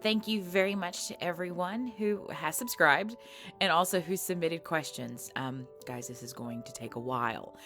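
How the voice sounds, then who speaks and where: A woman's voice: on the low side, normal speed, a bit bright. A woman hosting a casual subscriber Q&A for her audio channel, reading out fan questions and answering in a chatty, self-conscious way with many fillers. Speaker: ASMR audio creator hosting a Q&A. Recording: faint background music.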